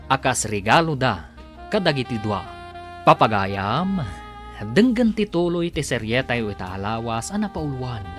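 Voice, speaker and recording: A man's voice: medium pitch, sing-song, measured pace, a bit bright. A man reading scripted dramatic narration as the announcer of a radio drama. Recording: music under the voice.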